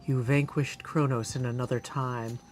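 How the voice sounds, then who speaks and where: A man's voice: medium pitch, level tone, normal speed, a little mellow, voice pushed down, close to an everyday voice. A man voicing a solemn, commanding mythic sorcerer for a fantasy game, declaiming like an incantation, with some background noise. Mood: solemn invocation. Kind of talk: fantasy video game voice acting practice.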